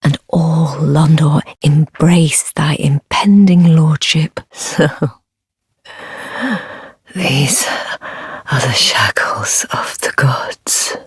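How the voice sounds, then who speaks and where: An actress playing a solemn, courtly woman of a dark order in a fantasy game, quietly menacing, with breathy, stately, archaic speech. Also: deep voice, no room sound, clean studio sound, full character voice. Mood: scornful, bitter. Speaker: video game voice actor. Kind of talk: archaic scripted game dialogue.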